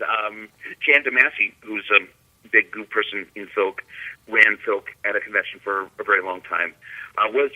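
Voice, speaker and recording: A man reminiscing casually as a guest on a call, halting and often repeating his words. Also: mid-range voice. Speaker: guest musician. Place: remote call into the show. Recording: dull, lo-fi call audio.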